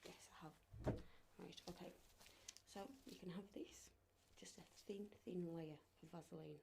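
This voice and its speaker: A woman speaking softly and reassuringly in an ASMR medical roleplay as a clinician, often dropping to a whisper. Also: lowish voice, steady tone, a little slow, vocal fry, heavy background noise. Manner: gentle, reassuring, often checking with "okay?".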